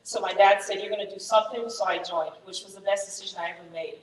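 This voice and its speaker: A woman in her thirties, raised in Germany with German as her first language, telling her Army career story to fellow soldiers in a candid, energetic way. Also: mid-range voice, fast talker, reverberant, big-room sound, distant mic, a little hiss or hum, rough recording. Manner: candid, energetic, many fillers. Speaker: Army first sergeant, guest speaker. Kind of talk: personal story talk to soldiers.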